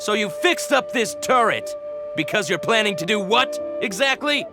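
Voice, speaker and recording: A sneering bandit boss with a gravelly, high-set voice. He taunts and threatens cockily, swinging widely in pitch, in game-villain style with music behind. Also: very animated, normal speed. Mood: indignant, cocky. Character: brash, sneering bandit gang leader, male. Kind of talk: video game voice acting. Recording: music under the voice.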